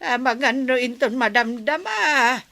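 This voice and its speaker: A woman playing a mother in a comedy radio drama, speaking in a slow, advising tone. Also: high-pitched, expressive, pushed and bright, dry recording. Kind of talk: Ilocano radio drama acting.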